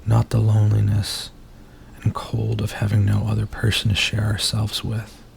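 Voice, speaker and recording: Casting: male actor for an anguished, confessional werewolf in human form, ASMR roleplay, gravelly and narrow in intonation, some background noise. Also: on the low side, monotone. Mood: brooding, confessional. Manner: intimate, confessional, halting delivery.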